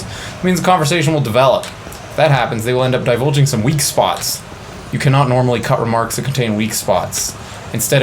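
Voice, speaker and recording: A man casually commentating on a video game and reading its text aloud as co-host. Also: medium pitch. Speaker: let's play co-host. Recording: loud game music behind the voice. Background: video game music.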